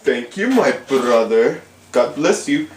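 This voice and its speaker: A performer playing an earnest, bossy preacher man puppet, declaiming a mock church sermon in a halting, pushy way. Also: medium pitch, big pitch swings. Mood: exasperated, bossy. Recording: echoey room with background noise.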